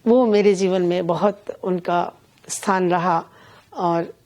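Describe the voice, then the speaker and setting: An older woman being interviewed as a poet and event organiser, speaking in a measured, earnest, deliberate way. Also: fairly low pitch, darkish tone, dull audio. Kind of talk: reflective conversational interview.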